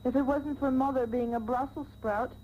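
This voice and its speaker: A woman's voice: sits high, steady tone, normal speed, slight background noise, lightly acted. A woman playing a sweet, melodramatic young heroine in a radio soap parody. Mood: tender. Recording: muffled, humming old radio tape.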